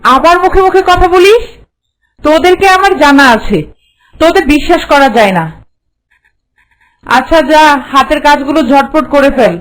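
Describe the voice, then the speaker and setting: A woman's voice: high-pitched, moderate pace, long pauses, close to an everyday voice, low-quality recording. A woman voicing a haughty, bossy, hard-hearted mistress of the house in a Bengali cartoon, with a nagging, contemptuous manner. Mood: scolding, bossy.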